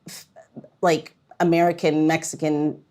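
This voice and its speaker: A woman's voice: low voice, little pitch movement, measured pace, a little mellow. A woman hosting a podcast, chatting casually and putting questions to her guest. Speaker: podcast host. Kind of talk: casual chat.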